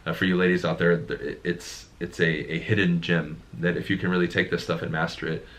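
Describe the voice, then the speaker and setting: Male sales coach, very low and deep, emphatic, casual talk. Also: flat delivery, fairly quick, fairly bright tone. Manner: emphatic, repeats words when making a point. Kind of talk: casual conversation.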